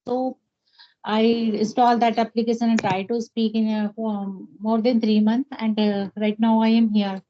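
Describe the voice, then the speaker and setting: A woman's voice: on the high side, flat delivery, measured pace. Hesitant woman, muffled call audio. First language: Hindi. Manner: hesitant, many fillers and restarts. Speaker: English learner, homemaker. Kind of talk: casual conversation practice.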